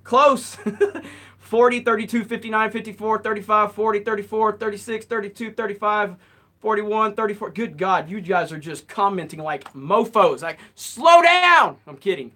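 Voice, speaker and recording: A man in his late thirties hosting a live Q&A stream, reading out viewer comments and answering in a relaxed, rambling way. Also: sits high, moderate pace. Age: 30s. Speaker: host.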